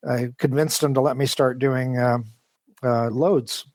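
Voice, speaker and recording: Male interviewee, engineer type. Chatty, wandering, stop-start delivery, average pitch, close dry sound. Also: steady tone, a little mellow, no room sound. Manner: rambling, halting, talkative. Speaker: interview guest, model train design engineer. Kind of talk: casual, detailed interview talk.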